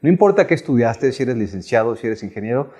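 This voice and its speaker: A man's voice: medium pitch, very animated, quick pace, warm tone, breathy edge. A man hosting an interview, asking long conversational questions. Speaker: podcast host.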